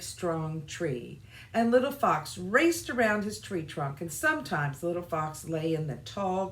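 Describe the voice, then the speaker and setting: A woman reading a children's storybook aloud in an expressive, deliberate way, as scripted narration. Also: fairly low pitch. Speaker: children's storybook reader, church music director. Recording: roomy echo, faint background noise.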